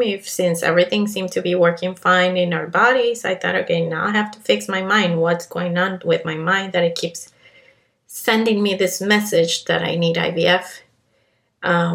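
A woman's voice: fairly low pitch, gravelly, a bit bright. A woman in her thirties from Colombia with a Colombian accent, hosting a podcast and telling her own story in an earnest, confiding way. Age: 30s. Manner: earnest, confiding, with fillers and restarts. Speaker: podcast host. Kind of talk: personal monologue. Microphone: podcast microphone.

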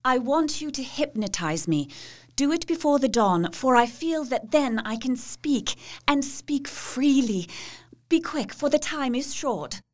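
An actress playing a haunted, earnest young wife in an audio drama, speaking in a slow, measured way. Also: female voice, sits high, animated. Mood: urgent. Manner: slow, measured, dreamy under trance.

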